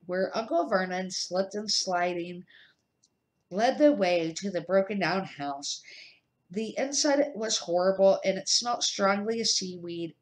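Middle-aged woman reading a story aloud. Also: medium pitch. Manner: halting, stumbles and corrects words. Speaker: amateur storybook reader. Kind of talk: reading a children's novel aloud.

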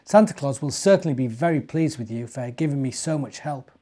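Storybook mum, read by a man, British, soothing and encouraging, mellow tone. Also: medium pitch, lively intonation, a little fast, lightly acted. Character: gentle, reassuring mother in a storybook.

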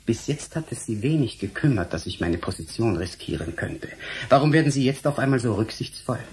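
An actor playing a defiant, principled scientist and lecturer in a German radio play, speaking formally, firmly and in a measured way. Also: male voice, mid-range voice, expressive, darkish tone, a little hiss or hum, lightly acted. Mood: defiant, resolute.

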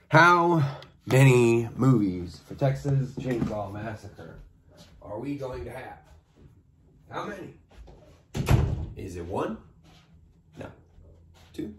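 A man in his twenties giving casual reaction commentary in a dry, deadpan way, musing aloud in a bedroom. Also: fairly low pitch, slow, long pauses, background noise. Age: 20s. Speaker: host of a trailer reaction video. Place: bedroom at home, indoors.

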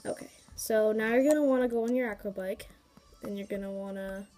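A woman's voice: mid-range voice, a little fast, warm tone, faint music behind. A woman with a cold, sniffling a little, casually talking viewers through a handheld game and rambling with fillers and asides. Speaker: gaming YouTuber. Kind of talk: casual game walkthrough commentary.

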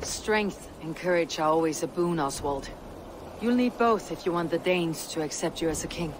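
A stern young Viking warrior woman , played by an actress, from a video game. She speaks in blunt, measured commands and rousing counsel, with clear background noise behind her. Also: fairly low pitch, lightly acted. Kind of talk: video game cutscene acting. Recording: outdoor ambience noise behind voice.

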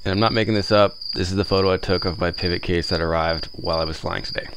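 A man's voice: deep voice, fairly even intonation, fast talker, very noisy. A man giving an explanatory, upbeat voice-over about his flight. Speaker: video creator narrating. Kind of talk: voice-over narration.

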